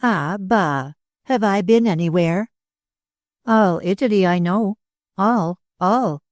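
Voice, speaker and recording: A woman's voice: medium pitch, takes it slowly, darkish tone, dry recording, voice pushed up, natural voice. A woman voicing a fiery, jealous Spanish dancer who speaks broken English with a Spanish accent and a tempestuous, dramatic manner. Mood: passionate.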